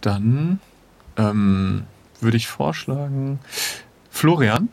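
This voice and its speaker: Husky man playing an adventure game. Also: medium pitch, animated, drawn-out words, darkish tone. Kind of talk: casual improvised role-play.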